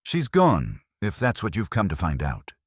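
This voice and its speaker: A man's voice: deep voice, wildly swooping pitch, normal speed, husky, no echo, studio quality, voice pushed up, exaggerated voice. A man voicing a prim, sniffy, guarded English landlady who talks in clipped fragments in a mystery story. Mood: clipped, matter-of-fact. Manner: clipped, terse fragments, haughty. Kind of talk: dramatised mystery audiobook.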